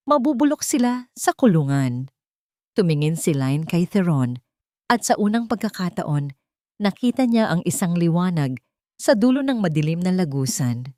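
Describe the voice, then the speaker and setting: Casting: female storyteller for audio drama, lowish pitch, solemn and melodramatic, recorded dry. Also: a little mellow, no room sound. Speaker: story narrator. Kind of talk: dramatic scripted narration of a love story.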